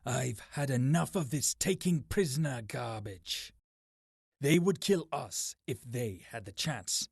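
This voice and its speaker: A husky, defiant young warrior. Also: male voice, mid-range voice, expressive, takes it slowly, no room sound, clean studio sound, heavily acted. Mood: threatening. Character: angry, defiant young warrior, ruthless and impatient. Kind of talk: dramatic game cutscene acting.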